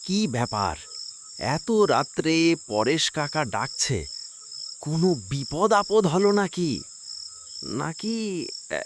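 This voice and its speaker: A man's voice: a little high, slight background noise, heavily acted. A man playing a puzzled, uneasy young village man in a horror cartoon, with a hesitant, questioning delivery.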